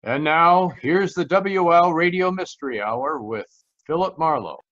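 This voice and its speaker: A man's voice: on the high side, measured pace, a bit bright, muffled recording. A man announcing a scripted program in the style of 1940s radio.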